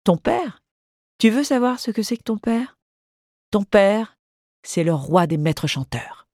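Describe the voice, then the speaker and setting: A reader voicing an ailing, teasing older mother in a literary audiobook, raising the voice for her sharp, fretful words. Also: mid-range voice, expressive, moderate pace, no echo, clean studio sound, pitched above the performer's own voice, lightly acted.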